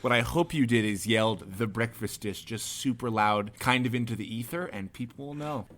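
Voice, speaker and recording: A man's voice: mid-range voice, moderate pace, heavy background noise. A man co-hosting a podcast in a casual, playful and joking manner. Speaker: podcast co-host.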